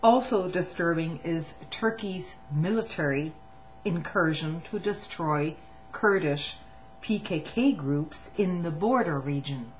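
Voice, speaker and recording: Low, slow woman, dull noisy recording. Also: lively intonation. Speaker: reader of a testimony. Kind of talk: reading a written political statement aloud.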